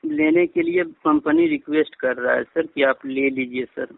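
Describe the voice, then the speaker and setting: Guy doing pushy customer care pitch on a phone, bit high and creaky, keeps repeating himself, thin phone sound. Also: huge pitch swings, normal speed, no room sound. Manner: insistent, repetitive, addresses listener as sir. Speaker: phone scammer posing as customer care agent. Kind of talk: persuasive sales phone call. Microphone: phone line. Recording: tinny phone call recording.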